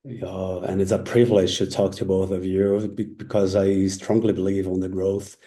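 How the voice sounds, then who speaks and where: A man hosting an interview as a strategist, asking questions in earnest, halting phrasing. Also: sits low, level tone, mellow. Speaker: podcast host, strategist.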